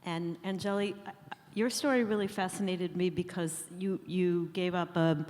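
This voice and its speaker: A woman's voice: on the low side, fairly even intonation, normal speed, darkish tone, a little hiss or hum. A woman moderating a panel, introducing speakers and asking them questions with small restarts. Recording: long reverb of a conference hall.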